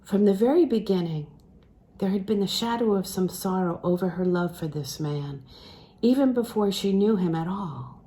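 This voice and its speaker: A woman reading a literary novel aloud in a measured, phrase-by-phrase way, letting phrase endings fall soft and breathy. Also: medium pitch. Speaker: audiobook reader.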